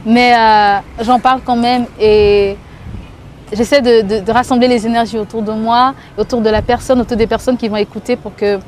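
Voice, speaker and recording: A woman singer speaks casually in an interview, thinking aloud and often pausing to find her words. Her voice sits fairly high. Faint street and traffic noise runs behind her outdoors. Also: steady tone, darkish tone. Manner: thoughtful, searching for words with frequent hesitations.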